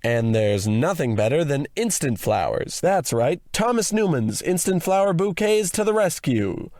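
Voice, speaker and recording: A man's voice: fairly high pitch, very animated, measured pace, darkish tone, no echo, studio quality. A man playing a cheery old-time radio announcer, reading a parody advertisement in a polished, salesy way. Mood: cheery pitch.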